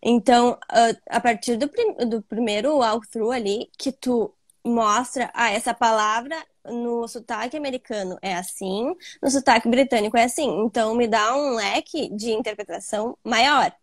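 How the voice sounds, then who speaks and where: A woman student talking casually with her teacher, agreeable and quick to laugh. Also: a little high, no room sound, clean studio sound. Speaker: student guest. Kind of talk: casual conversation.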